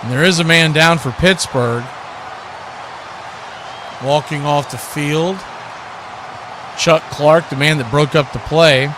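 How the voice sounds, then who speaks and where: An American man giving live play-by-play football commentary in a folksy, animated way, full of homespun exclamations and bursting into loud calls. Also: on the high side, takes it slowly, long pauses, background noise. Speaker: play-by-play sports commentator on a radio watch party.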